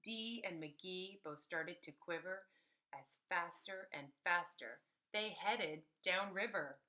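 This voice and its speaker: Bright-voiced woman reading a storybook slowly. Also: fairly low pitch. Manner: animated, emphatic, pausing to explain to children. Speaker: preschool teacher. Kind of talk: expressive read-aloud storytelling for young children.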